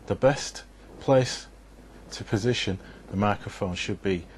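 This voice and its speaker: A man's voice: lowish voice, measured pace, a little hiss or hum. A man giving a scripted, methodical instructional narration in an explanatory way.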